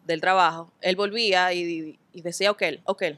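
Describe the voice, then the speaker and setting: A woman's voice: fairly low pitch, fairly even intonation, moderate pace, a bit bright, no echo. A woman, a guest telling stories in a casual interview. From Dominican Republic. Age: 20s. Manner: chatty, laughing, storytelling.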